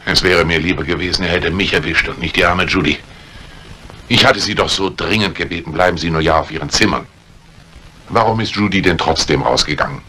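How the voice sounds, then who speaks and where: Stern low-voiced police inspector. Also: male voice, a little fast, bright, forceful tone. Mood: grave, regretful. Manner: probing, sardonic, commanding. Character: stern, suspicious male police inspector interrogating suspects. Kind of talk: 1950s German radio crime drama acting. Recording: old radio play recording with faint hiss.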